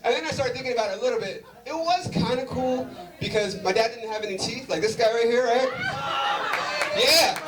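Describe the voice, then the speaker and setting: Guy doing stand-up, high lively voice, roomy club sound with the crowd laughing, mic kinda far. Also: brisk. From Southern California. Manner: animated, chatty, loose with fillers, banters with the crowd. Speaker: stand-up comedian. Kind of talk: stand-up comedy set. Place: comedy venue before a live audience. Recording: roomy live venue recording from a distance with crowd noise. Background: audience laughter.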